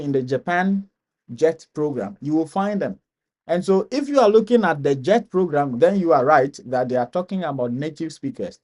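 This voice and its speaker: A man from Ghana hosting a live stream Q&A, explaining steps slowly and repeating key points. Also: on the high side, darkish tone. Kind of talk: live Q&A advice.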